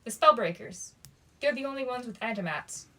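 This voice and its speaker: A woman's voice: a little high, lively intonation, moderate pace, fairly bright tone. A woman voicing a weary, guarded teenage boy in a storybook, wry and resigned. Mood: quiet surprise.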